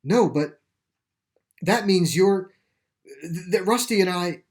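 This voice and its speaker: A man's voice: high-pitched, animated, slow, pitched above the performer's own voice. Man voicing an earnest, emotional young boy. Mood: shocked, grieving. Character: earnest, grief-stricken boy who loves his dog. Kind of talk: storybook character dialogue.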